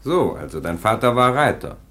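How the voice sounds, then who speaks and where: An actor playing a brisk, authoritative police officer on night watch in a radio play, curt and questioning. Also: male voice, lowish voice, sing-song, fairly bright tone. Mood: probing, puzzled.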